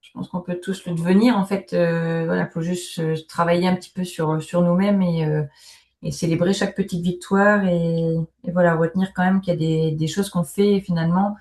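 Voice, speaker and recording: A woman's voice: on the low side, fairly even intonation, moderate pace, darkish tone. A woman in a workshop reflecting casually and tentatively. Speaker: workshop participant. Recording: roomy, echoing sound.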